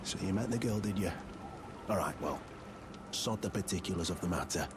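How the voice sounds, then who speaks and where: An actor playing a surly, shifty thug in a video game, blunt and slangy, with a working-class English accent. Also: male voice, sits low, animated, a little fast, background noise. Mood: grudging, gossipy. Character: gruff, shifty male thug selling boiled prawns. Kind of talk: video game character acting. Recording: loud music behind the voice.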